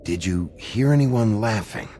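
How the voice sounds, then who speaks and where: A man voicing a terse, gruff, weary wolf sheriff in a video game, with a gravelly voice. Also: expressive, a little slow, mellow, slight background noise. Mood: questioning. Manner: terse, low-key.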